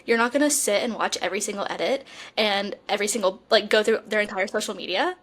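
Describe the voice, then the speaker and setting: A woman's voice: medium pitch, fast, a bit bright. A young woman co-hosting a podcast in casual, chatty conversation full of colloquial fangirl slang. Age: young adult. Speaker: podcast co-host.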